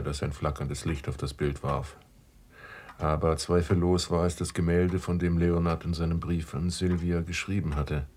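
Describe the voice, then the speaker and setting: A man's voice: deep voice, a little mellow, a little hiss or hum. A man playing a composed, observant guest in a German radio drama, narrating reflectively and matter-of-factly. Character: composed, observant male guest recounting his stay.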